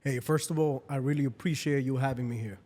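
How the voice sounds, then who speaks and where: A man's voice: medium pitch, steady tone, quick pace, darkish tone. A man in his thirties from Ecuador, raised in Queens, chatting casually as a podcast guest. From Ecuador, grew up in Queens, New York. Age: 30s. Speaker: podcast guest, real estate entrepreneur. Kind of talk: casual chat. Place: indoor podcast studio. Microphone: close boom-arm studio microphone. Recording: roomy studio with long reverb.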